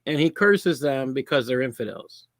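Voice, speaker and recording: A man's voice: mid-range voice, animated, moderate pace, fairly bright tone, no echo. A man in a casual discussion, answering with wry, dry remarks and the occasional chuckle. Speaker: co-host.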